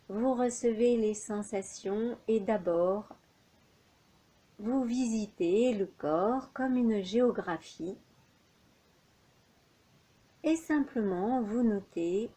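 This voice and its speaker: A woman leading a guided yoga nidra relaxation as an instructor, speaking in measured, unhurried phrases with pauses between them. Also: mid-range voice, dark tone, rough recording.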